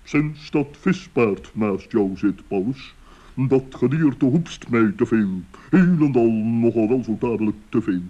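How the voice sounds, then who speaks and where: A man's voice: medium pitch, measured pace, mellow, lightly acted. A man voicing a fussy, pompous old male owl in an old children's radio play, speaking in long-winded phrases. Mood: haughty. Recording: dull lo-fi vintage recording.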